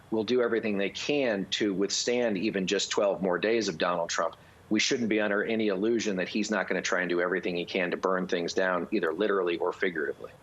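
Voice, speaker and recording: A man giving earnest political analysis in a live news interview, measured and thoughtful, with frequent fillers and restarts. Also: on the low side, breathy edge, highs cut off. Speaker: political commentator.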